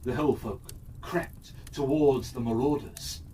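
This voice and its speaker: A man narrating a scripted children's fairytale as a storyteller, expressive and deliberate. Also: medium pitch. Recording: distant mic with faint background noise.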